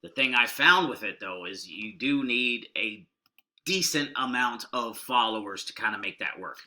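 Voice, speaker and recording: A man's voice: medium pitch, bright, forceful tone. A middle-aged man casually walking through online marketing trainings, keeping his voice down and rambling in a folksy way. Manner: rambling, folksy and deliberately subdued. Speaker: online marketing video host. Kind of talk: casual screen-share walkthrough.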